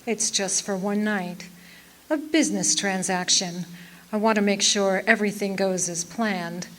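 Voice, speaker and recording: A woman's voice: medium pitch, measured pace, lightly acted. Woman playing a cool, sultry noir femme fatale. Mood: coolly seductive. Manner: coy, teasing, self-possessed. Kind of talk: old-time radio noir acting.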